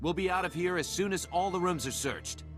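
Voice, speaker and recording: An actor playing a wary, suspicious army sergeant in a video game, with a blunt, familiar manner. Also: male voice, sits high, brisk, soft background music, natural voice. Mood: suspicious, grudging.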